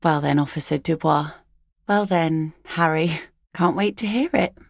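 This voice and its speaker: A woman voicing an easygoing, philosophical seafaring mother in a video game, with a wry, gently teasing, folksy manner. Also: sits low, measured pace, gravelly, no room sound, clean studio sound, full character voice. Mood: dry, friendly. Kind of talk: naturalistic video game dialogue acting.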